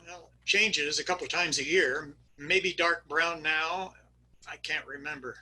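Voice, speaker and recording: A man's voice: fairly high pitch, unhurried, bright, forceful tone, slightly rough, exaggerated voice, low-quality recording. A man playing a flustered middle-aged husband who hems and haws about his missing wife, read over a video call for a readers theater skit. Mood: vague. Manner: hesitant and vague about his wife, then eager and detailed about his truck.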